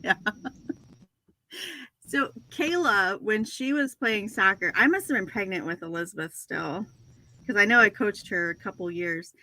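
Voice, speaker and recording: A woman's voice: mid-range voice, measured pace, sharp tone, rough recording. A woman in her forties hosting a casual livestream chat, talkative and self-deprecating, laughing often as she tells stories. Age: 40s. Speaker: host of a reseller livestream.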